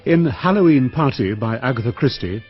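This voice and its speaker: A man's voice: medium pitch, animated, moderate pace, lo-fi sound. A man reading scripted closing credits as a radio announcer. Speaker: continuity announcer. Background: music.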